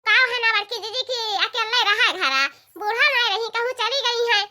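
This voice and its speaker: A woman's voice: extremely high pitch, moderate pace, pushed and bright, exaggerated voice. A woman voicing a cheeky young village woman in a comedy cartoon, whining and chattering pertly in an eastern Uttar Pradesh accent. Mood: complaining, amused.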